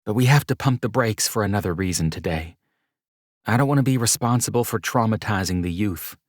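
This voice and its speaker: Keep her soft and breathy, lifted well above your own register, a young woman who is emotional but quick to turn playful, with dry, close sound and no room around her; you're a man putting her on. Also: on the low side, no room sound, pitched above the performer's own voice, close to an everyday voice.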